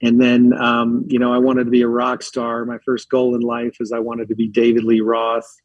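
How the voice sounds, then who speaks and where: A man's voice: mid-range voice, monotone, moderate pace, no echo, dull audio. A man from Philadelphia, a podcast guest telling stories in a rambling, casual way full of filler words. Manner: rambling, filler-heavy, uses lots of likes. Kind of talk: casual storytelling chat.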